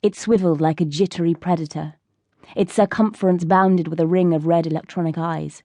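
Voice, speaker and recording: A woman's voice: lowish voice, fairly even intonation, fairly quick, mellow, no room sound. A woman reading scripted fiction as an audiobook narrator.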